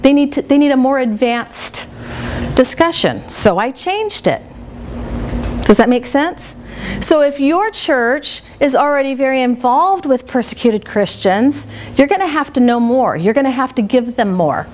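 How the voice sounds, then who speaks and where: A woman with a big voice teaching a workshop to a small group, chatty and lively and quick to laugh, speaking in a meeting room without a microphone. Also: high voice, slight background noise. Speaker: speaker trainer.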